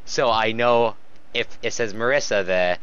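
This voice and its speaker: A young man in casual fan chat, halting but opinionated, on a lo-fi voice call. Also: lowish voice, a bit bright, clean tone. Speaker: co-host commentator.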